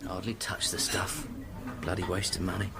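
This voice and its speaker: An actor playing an abrasive, mocking British journalist who needles and goads in a radio drama. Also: male voice, low-pitched, normal speed, vocal fry, sharp tone, heavily acted. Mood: sour, mocking. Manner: mocking, goading, rhetorical.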